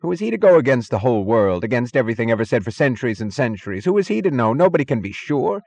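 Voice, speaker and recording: A man's voice: very fast, no echo. A man voicing a frantic, pleading man who insists in strained denial, in an audiobook novel. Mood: panicked, insistent. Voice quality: flat, strained. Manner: shrill, insistent questioning.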